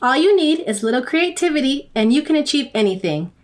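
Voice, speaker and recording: A young adult woman hosting a children's channel, reading a picture book aloud in an expressive, sing-song way. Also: high voice, fairly quick. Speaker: children's story reader. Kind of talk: read-aloud storytelling for children.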